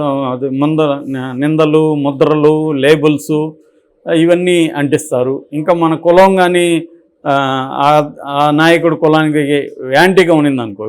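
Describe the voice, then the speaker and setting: Higher-pitched Telugu man explaining opinions. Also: a little mellow. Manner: explanatory, argues with rhetorical questions and examples. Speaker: political and film commentator. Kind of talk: unscripted opinionated interview commentary.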